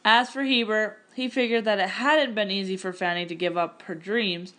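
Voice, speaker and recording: A woman narrating a picture-book story aloud in an expressive, scripted storytelling style. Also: medium pitch, normal speed.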